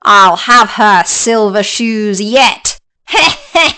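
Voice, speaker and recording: A woman putting on a wicked old witch from a storybook, cackling and snarling with a strange, twisted timbre. Her voice leaps between gruff low growls and sharp high jabs, all of it slow and menacing. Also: very animated. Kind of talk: storybook character voice.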